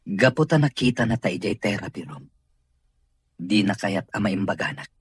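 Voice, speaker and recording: A man playing a grateful, earnest boss recovering in therapy, in an Ilocano radio drama, with a Filipino accent and a husky voice. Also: fairly low pitch, lively intonation, normal speed, dry recording, clean studio sound. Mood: resigned, explaining.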